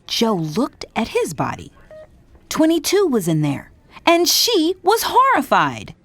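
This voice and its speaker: A woman reading scripted narration for a children's read-along storybook in an expressive, dramatic way. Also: high voice, slow pace. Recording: music behind the narration.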